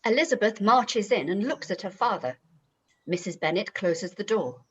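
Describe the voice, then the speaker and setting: A woman narrates a scripted play reading. Her mid-range voice is husky and expressive. The audio is a little muffled. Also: mid-range voice, moderate pace. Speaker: narrator reading stage directions.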